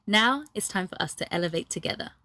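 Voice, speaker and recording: A woman's voice: on the low side, lively intonation, quick pace, pushed and bright. A woman hosting a podcast, speaking as an interviewer and presenter. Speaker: podcast host.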